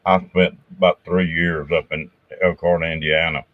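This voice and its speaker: A man with a Southern drawl, hosting a casual chat in a folksy, unhurried way. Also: low-pitched, level tone, no room sound. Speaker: host. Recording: thin phone-like line, dry.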